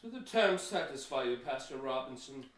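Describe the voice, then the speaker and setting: A man's voice: medium pitch, lively intonation, moderate pace, natural voice. A man playing a cautious, pious Pilgrim church elder in a historical audio play, with hesitant, formal archaic phrasing. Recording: roomy echo, distant from mic.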